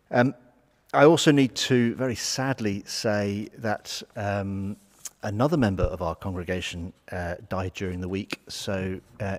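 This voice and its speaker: Relaxed man in an echoey church. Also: lowish voice. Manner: chatty, relaxed, many ums and asides. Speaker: church leader chairing the annual meeting. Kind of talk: informal church notices. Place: large stone church, congregation present. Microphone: far fixed camera view. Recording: long church reverb.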